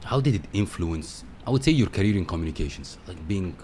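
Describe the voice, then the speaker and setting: Deep-voiced talk show host, effusive. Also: male voice, expressive, brisk, a little hiss or hum. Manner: effusive, repeats himself warmly. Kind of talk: hosting an interview.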